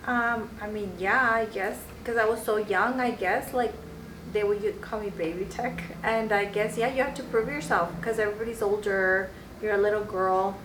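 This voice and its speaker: A woman's voice: mid-range voice, steady tone, moderate pace. A woman in her forties, a nurse and podcast guest, telling her story casually with many fillers and acted-out quotes. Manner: storytelling, acting out quoted voices, many likes. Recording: echoey room, mic at a distance, faint noise.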